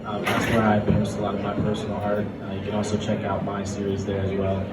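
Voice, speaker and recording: A man's voice: fairly low pitch, monotone, quick pace, very noisy. A man speaking informally and encouragingly as a panelist, in a casual convention talk. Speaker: panelist, comic artist. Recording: roomy, distant mic, loud music.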